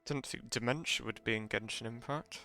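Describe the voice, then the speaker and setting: A man's voice: mid-range voice, a little fast, bright, forceful tone. A man giving casual live commentary as he streams a video game.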